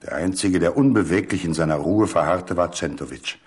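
A man reading a literary story as a scripted dramatic narration, measured and full of suspense. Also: low voice, breathy edge.

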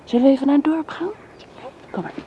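An actress playing an affectionate young governess talking soothingly to a small child in a radio drama. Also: female voice, high voice, expressive, fast talker, dull audio, slight background noise. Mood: affectionate, playful.

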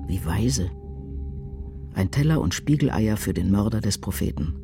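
A man reciting poetry for a radio drama in a grave, measured, declamatory way. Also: on the low side, lively intonation, vocal fry. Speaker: reader in a radio adaptation of a poem cycle. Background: music.

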